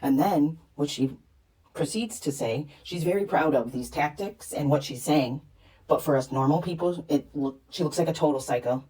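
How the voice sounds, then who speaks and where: A woman's voice: deep voice, normal speed, mellow, poor audio. A woman giving reaction commentary as a channel host, speaking in a critical, disapproving manner.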